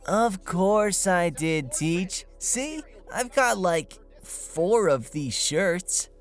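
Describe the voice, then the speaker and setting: Man playing a slow-talking slacker student guy. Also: high-pitched, expressive, soft background music, exaggerated voice. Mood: breezy. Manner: casual, drawling, breezy. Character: laid-back, cocky young male student slacker. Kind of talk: comedy audio drama acting.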